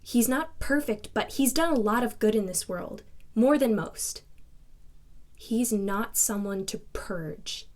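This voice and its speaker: A woman's voice: a little high, slow pace, a little mellow. An actress playing an indignant young woman in a contemporary dramatic monologue, speaking in an emphatic, confrontational and articulate way. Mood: bitter, resolute. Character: defiant, indignant twenty-two-year-old woman confronting a reporter.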